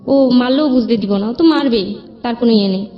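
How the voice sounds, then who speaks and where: A woman with a fairly high voice and a Bangladeshi accent recounts her own life as an interview guest. She speaks in a plain, rambling way and acts out reported dialogue. The sound is slightly dull, with music playing behind her. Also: a little fast. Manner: rambling, plain-spoken narration with quoted dialogue. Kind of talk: personal storytelling interview. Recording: band-limited dull sound with background music.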